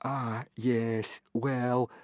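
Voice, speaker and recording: A man's voice: medium pitch, normal speed, no room sound, dull audio, voice pushed up, natural voice. A man voicing a timid, miserable Viking boy in an audiobook, meek, stammering and apologetic.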